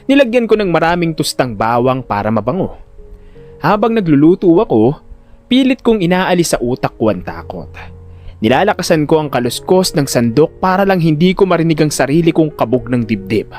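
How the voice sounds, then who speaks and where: A man narrating a first-person audio drama in an expressive, conversational storytelling manner. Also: on the high side, fairly quick, faint music behind.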